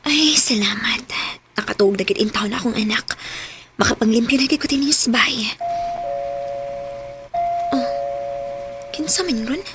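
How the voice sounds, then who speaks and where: A woman's voice: on the high side, a little slow, pauses often, loud music behind the voice, natural voice. An actress playing a proud, emotional young mother in a radio drama, with a Filipino accent. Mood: weary. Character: wronged young woman Yana, proud, emotional, newly a mother. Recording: noisy radio drama recording.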